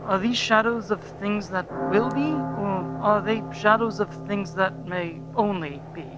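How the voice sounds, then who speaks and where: Radio play old miser, acted by a man: very high male voice, earnest, repentant, music underneath. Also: unhurried, low-quality recording. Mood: fearful, subdued. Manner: earnest, pleading. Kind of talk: theatrical radio play acting.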